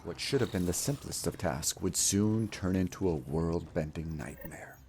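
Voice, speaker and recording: A man's voice: low-pitched, moderate pace, darkish tone, slight background noise. A man delivering scripted mock-epic narration about a video game in a grandiose, theatrical, mock-solemn manner. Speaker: video storyteller and gamer.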